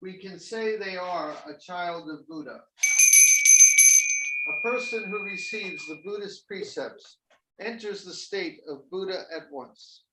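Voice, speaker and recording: A man leading a Zen ordination ceremony, speaking gently and formally with a few halting fillers. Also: sits high. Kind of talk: ceremonial officiating speech. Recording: echoey and distant with faint noise.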